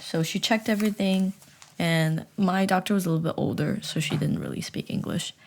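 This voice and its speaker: Young woman, low register, animated and self-mocking, casual vlog delivery. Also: a little fast, creaky, slight background noise. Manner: rambling, with many restarts. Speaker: vlogger. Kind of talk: casual storytelling chat.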